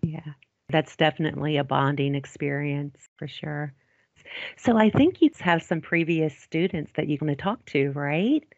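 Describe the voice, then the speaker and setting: Co-host: woman with a very low voice, brief and affirming, dry lo-fi sound. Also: normal speed, dry recording. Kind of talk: interview hosting. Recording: dry dull lo-fi audio.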